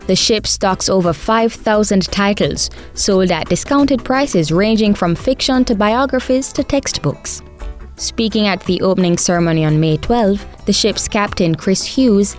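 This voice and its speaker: Woman, news presenter, measured scripted delivery, middle pitch, faint music bed. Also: a little mellow. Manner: clear, measured, formal delivery. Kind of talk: scripted news feature reading.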